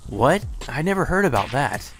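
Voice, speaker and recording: A man's voice: medium pitch, sing-song, normal speed, a crowd in the background, rough recording. A man hosting a let's play, reading game dialogue aloud and adding casual, blunt commentary. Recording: music playing under the voice.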